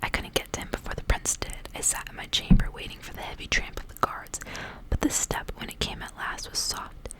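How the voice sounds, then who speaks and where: A woman reading a story aloud in a hushed ASMR whisper from start to end. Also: fairly quick. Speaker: ASMR reader.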